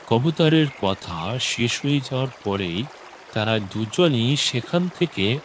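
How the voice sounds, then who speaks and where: A man's voice: mid-range voice, expressive, takes it slowly, a little hiss or hum. A man narrating a scripted children's story as a storyteller.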